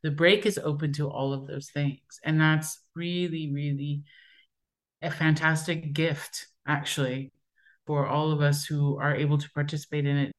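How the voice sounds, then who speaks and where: A woman entrepreneur talking enthusiastically in a discussion, her pace shifting between quick and slow stretches. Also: deep voice, level tone. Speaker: entrepreneur guest. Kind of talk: conversational discussion.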